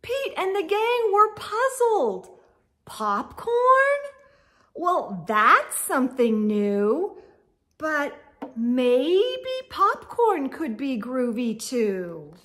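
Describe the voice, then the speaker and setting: A middle-aged woman reading a children's storybook aloud with expressive, exaggerated emphasis and sing-song refrains. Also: high-pitched, extremely slow. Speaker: storytime read-aloud host. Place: indoor room with bookshelves.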